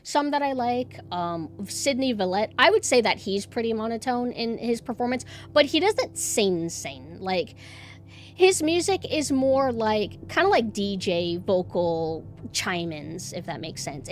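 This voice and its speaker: A woman streamer with a monotone voice, chatting casually and rambling with lots of fillers and restarts. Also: fairly high pitch. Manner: rambling, self-deprecating, many fillers and restarts. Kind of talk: casual chat.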